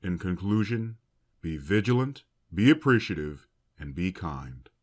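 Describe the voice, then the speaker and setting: A man playing a grave, compassionate academy headmaster in an audio drama, speaking in formal, measured oratory. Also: deep voice, sing-song, heavily acted. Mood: solemn. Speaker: voice actor. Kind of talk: fan audio drama speech.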